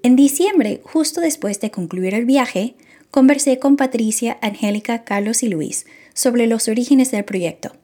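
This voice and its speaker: A woman hosting a conversational podcast, introducing an episode and inviting her guests to speak in a friendly, relaxed way. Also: a little high. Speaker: podcast host.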